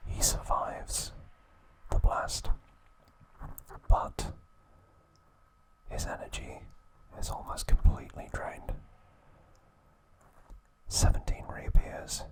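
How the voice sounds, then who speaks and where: A man whispering an ASMR recap of trivia, pausing now and then to correct himself. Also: low-quality recording. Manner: slow soft whisper, occasional self-corrections. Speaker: ASMR host.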